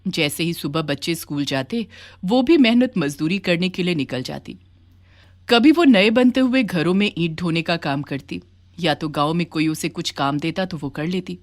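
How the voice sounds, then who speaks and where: A woman storyteller reading scripted narration for a children's moral tale. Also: lowish voice.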